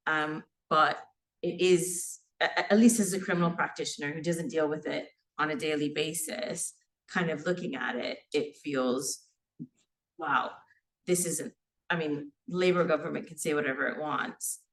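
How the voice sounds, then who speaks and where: A woman's voice: deep voice, normal speed, a bit bright, far from the mic. A woman in her forties, born in Sri Lanka, speaking as a criminal barrister at a seminar, unscripted, with many fillers and restarts. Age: 40s. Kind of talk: seminar talk. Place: seminar room.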